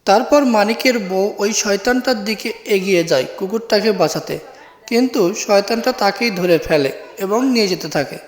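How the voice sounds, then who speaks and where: A man's voice: sits high, moderate pace, roomy sound, long reverb tail. A man narrating a scripted cartoon story.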